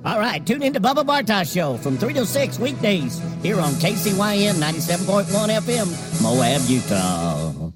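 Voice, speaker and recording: A man reading a jokey radio station promo in a lively, playful way. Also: high-pitched, moderate pace, hardly any pauses. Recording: loud music bed behind.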